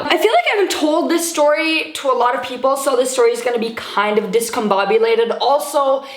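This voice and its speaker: A woman's voice: fairly high pitch, echoey room. A young woman from Sweden telling a casual storytime to her viewers, chatty and full of fillers, swearing freely. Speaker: YouTuber.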